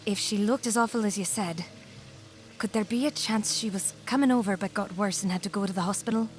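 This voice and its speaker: A woman acts as an attentive wife in an audio drama. She speaks politely and soothingly, coaxing and reassuring at a medium pitch. Some background ambience sits behind the voice. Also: brisk, slight background noise, lightly acted, poor audio. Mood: politely concerned. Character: soothing, attentive new wife with veiled intentions.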